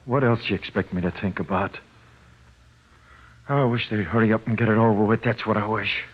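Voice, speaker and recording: An actor playing a frightened, childlike condemned prisoner with slangy, uneducated speech in 1940s radio drama style. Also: male voice, lowish voice, steady tone, brisk, slightly rough. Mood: glum, nervous. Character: frightened, childlike condemned male convict awaiting execution. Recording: muffled, dull old radio recording.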